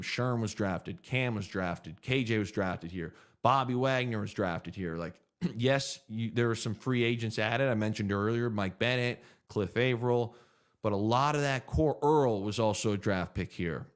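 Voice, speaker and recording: A man's voice: on the low side, a little slow. A man in his thirties hosting a casual livestream Q&A, laid-back and joking as he thinks aloud through viewer questions. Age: 30s. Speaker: podcast host answering viewer questions.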